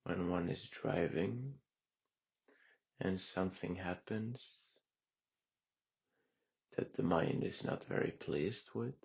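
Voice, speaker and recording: A man guiding a meditation in a calm, unhurried way with long pauses. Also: low-pitched, gravelly, slightly rough, lo-fi sound. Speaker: meditation guide. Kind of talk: guided meditation.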